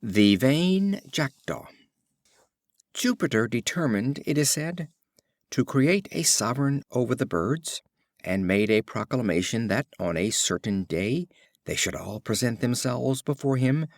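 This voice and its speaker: Male storybook reader, animated intonation, dry close sound, deliberate and expressive delivery. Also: medium pitch, no echo. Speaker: audiobook reader. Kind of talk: scripted storybook narration of fables.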